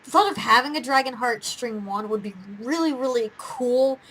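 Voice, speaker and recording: A woman's voice: on the high side, normal speed, fairly bright tone, rough recording. A young woman hosting a casual chat, talking in a giggly, rambling way. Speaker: host of a fan gaming and quiz show.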